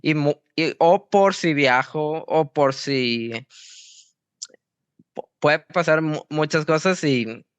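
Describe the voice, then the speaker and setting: A young man from Mexico, a football coach answering interview questions in a halting way with many restarts. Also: fairly high pitch, smooth, no room sound. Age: young adult. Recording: dry, slightly dull sound.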